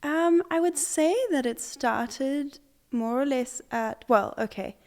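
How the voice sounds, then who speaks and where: A young woman from Zimbabwe, an artist, answering interview questions in a relaxed way with many pauses, fillers and restarts. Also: high-pitched. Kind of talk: conversational interview.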